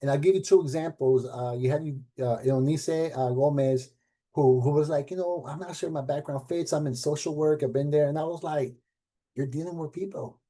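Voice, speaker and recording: A middle-aged man talks loosely to a room as a program director. His voice is warm and mellow, and he leans on fillers and rhetorical "right?" questions. He lights up when he quotes others. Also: fairly high pitch, moderate pace. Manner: animated when quoting others. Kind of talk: casual talk at an event panel.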